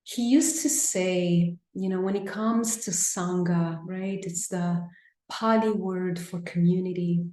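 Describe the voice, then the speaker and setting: A woman with a Brazilian accent leading a mindfulness class on a video call, gentle and affirming. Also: fairly low pitch, fairly even intonation, takes it slowly, echoey room. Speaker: mindfulness teacher. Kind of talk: live online class, reading chat responses.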